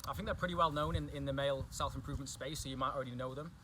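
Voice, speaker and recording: A man's voice: mid-range voice, fairly even intonation, very fast, bright, forceful tone, slight background noise. A young man with an English accent, chatting casually as a guest and often repeating himself. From England. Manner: chatty, repeats himself, says "do you know what I mean". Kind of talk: casual chat.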